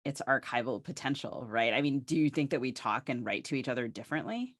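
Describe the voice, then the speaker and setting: A woman's voice: a bass voice, quick pace, dry recording, clean studio sound. A woman co-hosting a podcast, asking conversational interview questions in an exploratory, loosely phrased way. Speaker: podcast co-host.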